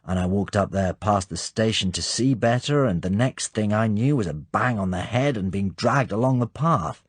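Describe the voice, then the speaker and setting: A man's voice: on the low side, lively intonation, moderate pace, slightly rough, no room sound. A man voicing a weary, injured British agent who speaks in a tired, understated way. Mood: dazed.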